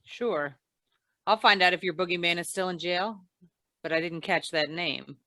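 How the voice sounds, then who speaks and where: A woman playing a wry, shrewd hard-boiled insurance investigator in deadpan 1940s radio noir style. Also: on the low side, moderate pace, dry recording, clean studio sound. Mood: wry, probing.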